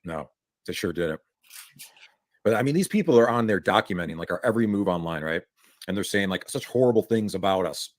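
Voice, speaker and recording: A man's voice: low-pitched, expressive, a little fast, no echo, clean studio sound. A man streamer in casual chat, laughing often and agreeing with his co-host.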